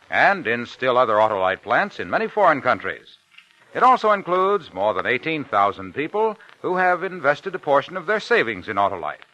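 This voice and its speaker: An American man reads sponsor copy as a vintage radio announcer. His delivery is emphatic and very animated, with a forceful, bright edge. The old recording is slightly dull. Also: fairly high pitch, no room sound. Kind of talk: 1950s radio commercial announcing.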